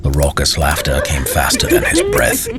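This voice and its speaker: A man reading a scripted fantasy story as narrator, in a measured, dramatic storytelling manner. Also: extremely low pitch, fairly even intonation, music in the background.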